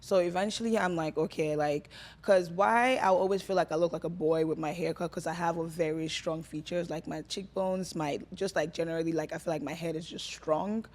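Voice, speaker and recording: A woman's voice: deep voice, steady tone, fairly quick, hardly any pauses, a little mellow. A woman from Nigeria, a guest in a casual podcast interview, talking in a rambling, chatty way full of fillers. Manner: chatty, rambling, filler-heavy, laughs often.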